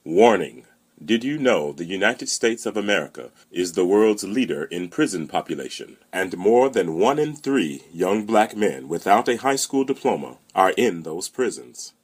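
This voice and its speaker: A man narrates a scripted warning in a low, husky voice. His pitch moves widely from phrase to phrase. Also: very animated, normal speed. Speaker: announcer.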